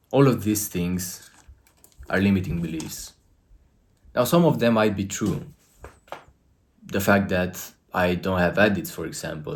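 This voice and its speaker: A man with a Romanian accent speaks in a very deep, low register. He coaches his viewers directly to camera, earnest and emphatic, in the lecturing tone of a motivational talk.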